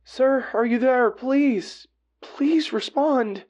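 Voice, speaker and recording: A woman's voice: high voice, drawn-out words, a little mellow, lo-fi sound, heavily acted. A woman playing an anxious, urgently pleading 911 dispatcher in a horror audio story.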